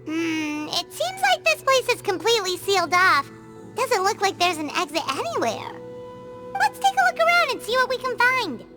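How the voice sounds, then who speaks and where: A little floating fairy companion from a video game. The voice is extremely high and childlike, swinging wildly up and down with chirpy eagerness. Prominent music plays underneath. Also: female voice, very animated, normal speed. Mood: curious. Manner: speaks of self in third person, eager, chirpy. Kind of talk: animated video game character acting.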